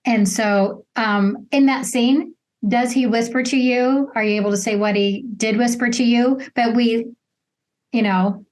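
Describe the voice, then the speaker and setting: A woman's voice: fairly high pitch, steady tone, unhurried, darkish tone. A woman hosting an interview in a casual, earnest, conversational way. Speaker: interview host.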